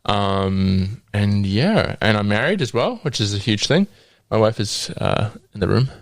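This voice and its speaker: A young man in his twenties from New Zealand with a New Zealand accent, a podcast guest sharing his faith testimony with many pauses, ums and laughs. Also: lowish voice, lively intonation, no room sound, studio quality. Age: 20s. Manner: hesitant, with frequent ums and laughs.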